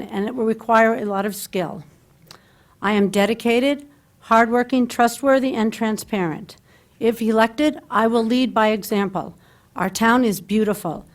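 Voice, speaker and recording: This middle-aged woman has a voice of ordinary pitch. She reads a prepared statement in an earnest, formal way. She is speaking at a table microphone during a public forum. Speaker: candidate at a town forum. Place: public candidates forum in a meeting hall.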